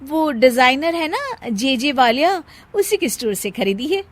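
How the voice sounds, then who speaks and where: A woman acting a boastful, high-pitched young daughter-in-law. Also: big pitch swings, a little slow. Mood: showy bragging. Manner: haughty, showing off. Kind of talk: animated moral-story narration acting.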